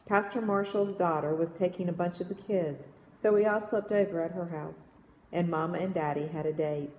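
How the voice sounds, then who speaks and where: A woman reading children's poems aloud in an expressive storytelling manner, recorded in an echoey room. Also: fairly low pitch, normal speed. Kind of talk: read-aloud of children's verse novel. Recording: echoey reverberant room with background noise.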